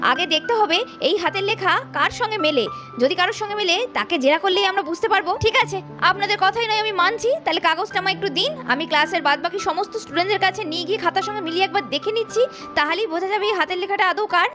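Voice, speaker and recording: A woman tells a cartoon story in a very high voice and at a brisk pace, voicing several characters and scolding sharply. Music plays steadily under her voice. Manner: scolding, shifts between narration and character voices.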